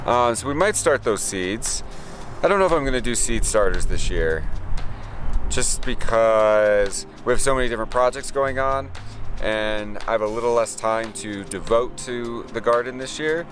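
A man's voice: mid-range voice, moderate pace, fairly bright tone, a little hiss or hum. An American man in his thirties chatting casually to camera in an easygoing, rambling way as a gardening vlogger. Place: outdoors in a garden.

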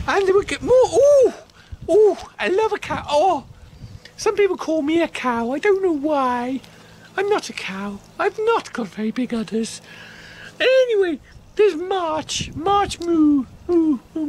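Man in a wig playing a daft, flirty Swedish supermodel lady, high and swooping, camp fake accent that slips Irish; faint noise behind. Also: a little mellow. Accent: wobbly fake Swedish drifting Irish. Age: middle-aged. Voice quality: high falsetto, camp, breathy ooh-ing. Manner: flirty, rambling, self-mocking. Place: dark barn.

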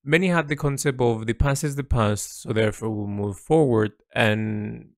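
A man speaking a reflective monologue as an artist's audio log, halting and introspective as he searches for words. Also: medium pitch, gravelly, no room sound, studio quality.

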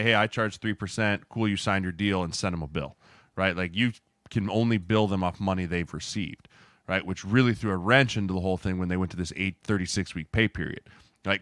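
A man's voice: sits low, pushed and bright, no echo, clean studio sound. A man in casual sports podcast chat, explaining things by acting out quotes and often checking in with "right?". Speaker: podcast co-host, former player turned sports agent. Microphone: close podcast mic with headset.

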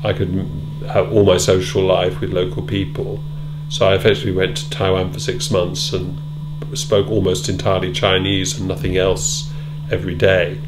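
A middle-aged man being interviewed in a wood-panelled room, answering in a measured, thoughtful way with many ums and repeated words. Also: low-pitched, level tone, over loud music, rough recording. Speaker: interviewee, former British Council officer. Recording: loud hum behind.

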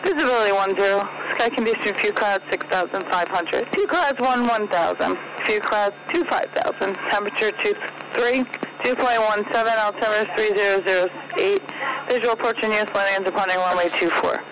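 A woman reads out airport information in a formulaic aviation style. Her voice comes through an aircraft radio. There is clear noise over the broadcast. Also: medium pitch, a little fast. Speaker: airport weather information announcer. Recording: noisy aviation radio in cockpit.

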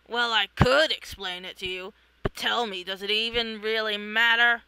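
Bright, theatrical comic read-along voice. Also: medium pitch, steady tone, normal speed, a little hiss or hum, rough recording. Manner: theatrical, exclamatory. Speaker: reader of own comic. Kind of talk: animated read-along of a comic, acting out characters.

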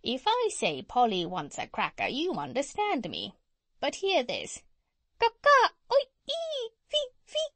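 Casting: clever, proud lady parrot for a storybook, played by a woman, high voice, very lively intonation, insistent teacher. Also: a little slow, dry recording, heavily acted. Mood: demonstrative, proud. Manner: insistent, instructive. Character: bossy, proud, clever old female parrot.

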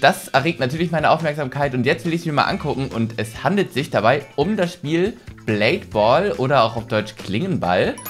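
A man's voice: medium pitch, expressive, brisk, few pauses, soft background music. A man in his twenties giving casual, self-deprecating let's play commentary, thinking aloud into a close desk microphone. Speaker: gaming video host. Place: small room at home, indoors. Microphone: desk studio microphone, close.

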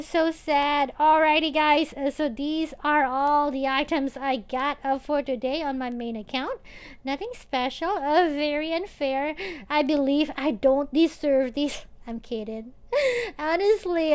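A woman with a high, light voice chats through her game session as she records it at home. She thinks out loud, drops many filler sounds, and complains in a playful, whiny way to her viewers. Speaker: gaming channel host. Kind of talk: casual game commentary. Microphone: tablet or phone mic.

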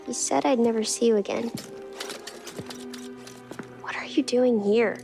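Innocent young game child: very high, unhurried, hesitant and soft, faint music behind. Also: female voice, fairly even intonation, creaky. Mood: timid, sad. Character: lonely, timid, innocent little girl. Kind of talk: cinematic video game acting.

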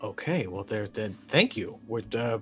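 A man's voice: on the low side, expressive, unhurried, faint music behind. Man playing an uneasy, stammering space colonist. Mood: nervous. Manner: hesitant, stammering, wry. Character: uneasy, polite male colonist waking in a wreck.

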